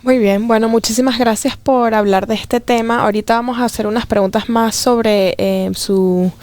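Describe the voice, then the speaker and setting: A woman's voice: a little high, steady tone, moderate pace, darkish tone, no echo. A woman hosting a podcast interview, asking careful, polite questions with brief affirmations. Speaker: podcast host.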